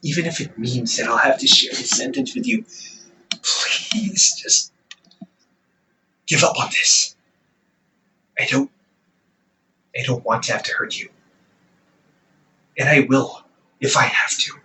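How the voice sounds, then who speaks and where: A young man playing a protective, weary half-demon older brother in a dramatic audio roleplay, pleading and exasperated with emphatic, halting delivery. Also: medium pitch, sing-song, pushed and bright, recorded from a distance, a little hiss or hum, exaggerated voice, low-quality recording. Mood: pained pleading.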